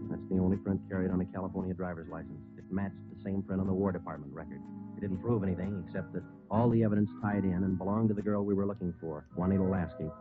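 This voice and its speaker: An actor playing a terse, matter-of-fact police detective in an old radio crime drama, clipped and deadpan, narrating his case. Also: low-pitched, lightly acted. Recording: muffled old radio recording, music behind.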